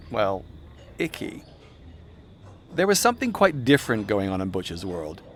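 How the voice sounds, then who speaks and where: A man reading his own self-help audiobook in a wry, irreverent, conversational narrating style, slipping into chatty asides. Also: mid-range voice. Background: music soundscape.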